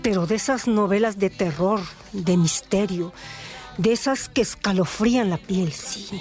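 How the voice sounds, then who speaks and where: A Mexican woman tells a dramatized story for radio. Her mid-range voice is theatrical and deliberate in its emphasis, with music playing behind her. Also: medium pitch, darkish tone. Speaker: narrator.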